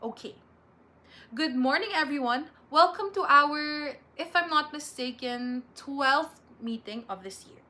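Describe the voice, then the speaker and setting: A woman's voice: high voice, animated, takes it slowly, bright, forceful tone, exaggerated voice. A Filipino woman playing a chatty, proud and bossy college instructor in her thirties in an amateur dramatic reading. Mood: officious, proud. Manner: chatty, officious. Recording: faint background noise.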